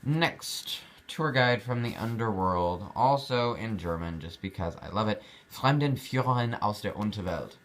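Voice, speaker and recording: Low-voiced young man rambling casually. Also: expressive, measured pace, few pauses, a bit bright, slight background noise. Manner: chatty, rambling, with many fillers and restarts. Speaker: card collector showing his cards. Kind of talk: casual vlog chat.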